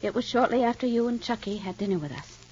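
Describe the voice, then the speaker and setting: An actress playing a devoted wife in an old radio soap, speaking gently and a little hesitantly, on a lo-fi vintage recording. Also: female voice, fairly high pitch, animated, quick pace, slight background noise, lightly acted. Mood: flustered. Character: devoted young wife longing to adopt. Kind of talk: 1940s radio acting.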